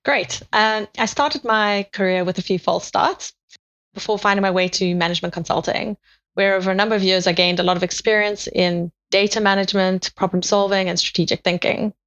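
Measured South African woman. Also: mid-range voice, steady tone, a bit bright, lo-fi sound. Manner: measured, methodical explanation. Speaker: guest, sales operations manager. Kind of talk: podcast interview answers.

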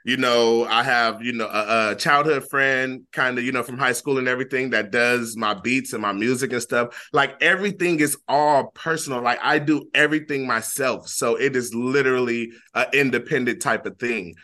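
A young man from Flint, Michigan, talks in a loose, laid-back way, with a bright, forceful voice. He repeats himself and leans on fillers as he tells his story in a casual interview. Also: medium pitch, normal speed, few pauses. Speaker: guest rapper.

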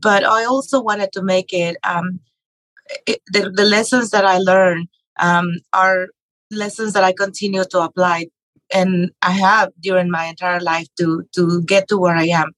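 Brief: middle-aged woman from Mexico, lowish even voice, hesitant and halting, dry close sound. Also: no echo, rough recording. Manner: hesitant, many fillers and restarts. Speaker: podcast guest, real estate investor and author. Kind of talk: conversational interview.

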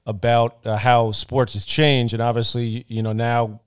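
A man's voice: medium pitch, little pitch movement, measured pace, clear, resonant tone. A man hosting a podcast interview, asking long, rambling questions with many restarts while he is losing his voice. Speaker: podcast host.

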